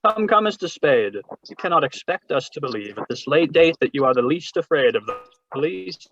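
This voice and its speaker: An actor playing a genteel, scheming older crime boss in a 1940s radio drama, speaking in a florid, courtly manner. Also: male voice, fairly high pitch, lively intonation, fairly quick, fairly bright tone. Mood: veiled menace. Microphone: video call. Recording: muffled, noisy video-call audio.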